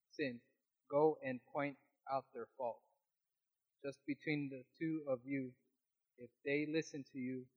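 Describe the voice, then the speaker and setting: Husky-voiced man reading scripture aloud. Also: mid-range voice. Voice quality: small, quiet voice. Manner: steady reading with occasional restarts. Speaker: scripture reader in a Bible study. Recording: dull lo-fi recording.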